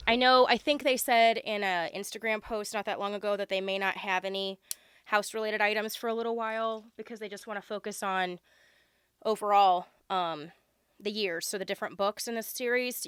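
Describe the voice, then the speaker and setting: A woman with a hoarse, congested voice from being sick, chatting casually and gushing as she unboxes a subscription box, with plenty of ums. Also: mid-range voice, a bit bright, dry recording, clean studio sound. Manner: chatty, lots of ums, gushing over items. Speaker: unboxing vlogger.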